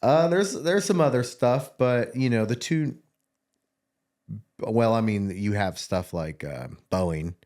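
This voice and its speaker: A man's voice: mid-range voice, animated, slow pace, a little mellow. A man from Kansas hosting a trades podcast, chatting in a loose, rambling way with jokey asides. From Kansas, USA. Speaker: podcast host. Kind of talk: casual interview chat.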